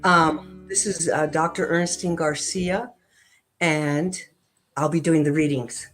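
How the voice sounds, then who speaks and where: A woman's voice: sits low, fairly even intonation, slow pace, faint music behind. A woman speaking informally as a reader at a spiritual service. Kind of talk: informal self-introduction.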